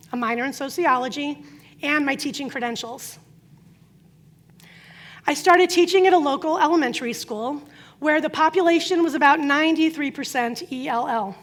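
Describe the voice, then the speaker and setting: A middle-aged woman with a high voice, whose first language is Croatian, gives an earnest prepared talk about her life. She tells her story with emphatic pauses. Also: fairly bright tone. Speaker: speaker.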